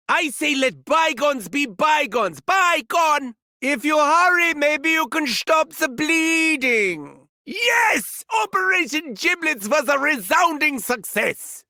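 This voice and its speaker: An actor playing a gleeful, sadistic mad scientist villain in a video game, theatrical and taunting, with a German accent. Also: male voice, very high-pitched, sharp tone, no echo, clean studio sound, exaggerated voice. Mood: triumphant. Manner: theatrical, swooping from gleeful shrieks to sinister murmurs. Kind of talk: video game character voice lines.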